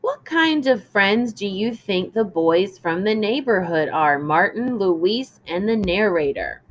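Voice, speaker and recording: A woman teacher reading a children's story aloud in an instructive, deliberate way, as in a lesson for young children. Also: medium pitch, lively intonation, low-quality recording. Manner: instructive, deliberate, prompting listeners with questions.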